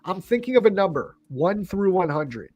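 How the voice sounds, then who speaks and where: A man's voice: sits high, very animated, moderate pace, a little mellow. A man in his early thirties hosting a trading livestream, upbeat and chummy, casually calling people bro. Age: 30s. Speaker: livestream host.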